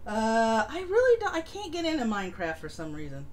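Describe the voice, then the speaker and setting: Higher-voiced woman hosting, noisy recording. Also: huge pitch swings, a little fast, a little mellow. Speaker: live stream host. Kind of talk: casual live-stream announcements and chat. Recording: noisy home livestream audio.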